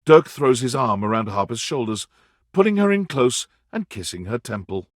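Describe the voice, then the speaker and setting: Game narrator, mid pitch, really animated storytelling, super dry close sound. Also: male voice, normal speed, dry recording. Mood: wry storytelling. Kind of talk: scripted second-person game narration.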